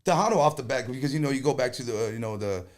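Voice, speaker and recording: A man speaking, a conjunto band leader chatting casually as a guest, animated and joking, with quick bursts. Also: mid-range voice. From Texas. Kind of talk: casual interview chat.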